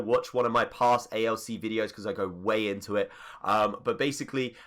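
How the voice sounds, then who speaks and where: A man's voice: mid-range voice, a bit bright. A man with a stuffy, congested voice from a cold, giving a chatty game settings tutorial with rambling asides. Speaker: gaming YouTuber. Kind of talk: casual settings tutorial voice-over.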